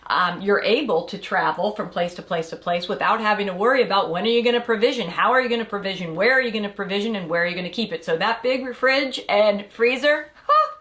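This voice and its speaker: A middle-aged woman chats with her co-host, jumping in to finish his thoughts. Her voice sits at an ordinary pitch for a woman, and her tone stays conversational and easygoing. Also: fast, hardly any pauses, fairly bright tone. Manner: chatty, finishes her partner's sentences. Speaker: podcast co-host. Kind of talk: casual conversational chat.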